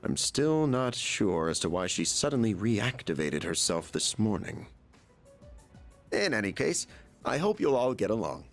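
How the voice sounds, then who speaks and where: A man's voice: lowish voice, big pitch swings, a little slow, soft background music. An actor playing a polite, genial chairman who explains things in a video game. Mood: explaining. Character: polite adult male chairman of a secret research group.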